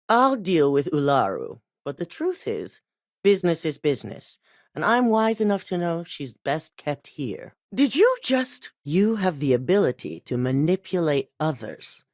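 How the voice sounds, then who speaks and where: An actress voicing a cool, calculating female corporate executive in a game, speaking in a composed, measured, authoritative way. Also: low-pitched, dry recording, studio quality. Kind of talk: game voice acting.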